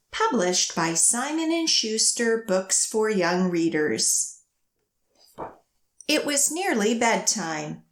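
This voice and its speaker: A woman's voice: medium pitch, roomy sound. A woman hosting storytime for young children, reading picture books expressively and giving encouraging, simple instructions. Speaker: children's librarian, storytime host.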